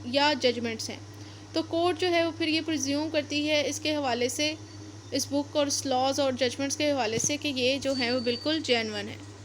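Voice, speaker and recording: A woman with a Pakistani accent speaks in a very high voice, lecturing like a law teacher. She reads out and then explains point by point, repeating herself and slipping in English terms. There is faint noise in the recording. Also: low-quality recording. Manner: repetitive step-by-step explaining, code-switching into English terms.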